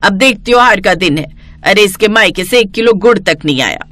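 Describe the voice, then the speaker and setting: A greedy older mother-in-law acted by a woman narrator, disdainful and sharp-tongued. Her delivery is expressive and animated, in the manner of a storybook family drama. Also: mid-range voice, brisk, lightly acted. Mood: scolding.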